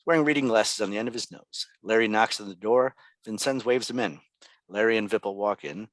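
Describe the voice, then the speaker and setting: A man reads scripted stage directions as the narrator of a table read. His voice sits low and has a bright, forceful edge, recorded very dry and close. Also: a little fast, dry recording.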